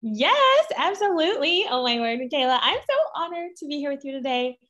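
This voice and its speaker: A woman's voice: high voice, expressive, fairly quick, pushed and bright, lo-fi sound. A woman in her thirties, raised in Guatemala and Mexico, chatting as a podcast guest, bubbly and rambling with frequent laughter. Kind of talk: casual interview chat.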